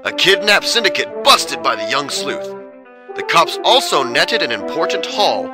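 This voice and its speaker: A brisk newsreel announcer, proclaims triumphantly with big swings of pitch. Loud brass music plays behind the 1930s-style delivery. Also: male voice, sing-song.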